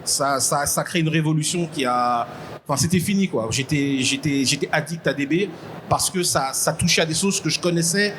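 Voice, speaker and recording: A man from Congo, a guest on a live podcast panel, explaining his ideas in a halting way and repeating words as he thinks. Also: on the high side, fairly bright tone. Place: convention stage before a live audience. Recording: noisy live event ambience.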